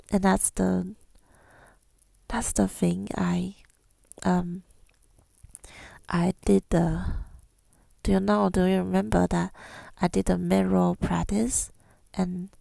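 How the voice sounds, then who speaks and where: A woman giving an intimate ASMR monologue, mostly in a breathy whisper, with a tender, hesitant, affirming manner, close to the mic. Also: lowish voice, mellow, no room sound. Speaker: ASMR creator speaking to listeners.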